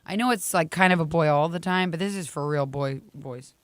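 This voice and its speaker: A young woman co-hosting a morning radio show, slightly raspy, playful and teasing in quick banter. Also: low voice, dry recording. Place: radio studio. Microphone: studio microphone.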